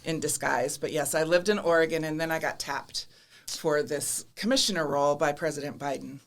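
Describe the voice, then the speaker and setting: A woman's voice: deep voice, fairly quick. A middle-aged woman with an American accent, answering interview questions in a candid, reflective way with light self-deprecating humor. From Midwestern United States (Minnesota, Iowa). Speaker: podcast guest, government commissioner. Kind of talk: conversational interview.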